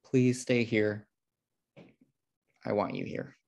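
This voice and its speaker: A man's voice: on the low side, wildly swooping pitch, slow pace, gravelly, darkish tone, full character voice. An American man playing a fussy, controlling twin brother in a sitcom table read. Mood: pleading. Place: indoor room, on a video call. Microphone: video call microphone.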